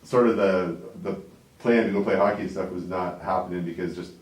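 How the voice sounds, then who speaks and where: A very deep-voiced man in his forties, from Canada, chatting loosely as an interview guest. He rambles and keeps checking in with "right?" and "like". He sounds set back from the mic in an echoey room. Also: fairly even intonation, a little fast, distant mic. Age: 40s. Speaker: interview guest, business owner. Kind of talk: casual interview talk.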